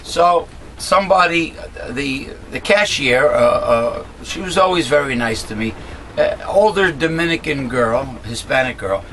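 A middle-aged man with a raspy voice speaks at an ordinary pitch straight into a desk webcam. He rambles in a casual monologue, full of "uh"s and restarts, and voices blunt opinions. A faint hum of noise sits behind him in a small room at home. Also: a bit bright, rough recording. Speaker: host of a political commentary channel. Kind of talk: casual monologue, talking to camera.

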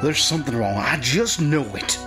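A man's voice: a little high, animated, moderate pace, music in the background, voice pushed up, close to an everyday voice. A man voicing an anxious, folksy townsman who pleads insistently in an audio drama. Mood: panicked, pleading.

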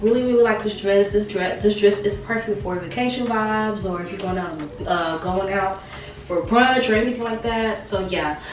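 Slightly raspy young woman in a roomy bedroom. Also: medium pitch, normal speed. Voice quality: slightly raspy from a sore throat. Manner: chatty, repeats words for emphasis. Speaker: fashion vlogger. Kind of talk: casual try-on haul chat. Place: small bright bedroom. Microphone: camera mic a few steps away. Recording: roomy sound from a distant camera.

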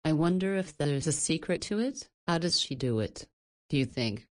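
A woman's voice: deep voice, expressive, normal speed, a little mellow. A woman voicing a motherly, teasing halfway-house boss in a visual novel, chatty and playfully scolding. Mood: curious, chiding.

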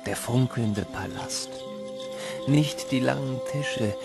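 A man playing a wistful narrator who tells his boyhood tale in measured, literary narration. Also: medium pitch, gravelly. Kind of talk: scripted narration. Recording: loud music behind.

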